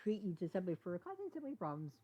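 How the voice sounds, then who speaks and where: A woman voicing an apologetic, anxious young female unicorn in a storybook reading, with halting, pleading delivery. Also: lowish voice, big pitch swings, mellow, voice pushed up. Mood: brighter. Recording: dull, lo-fi sound.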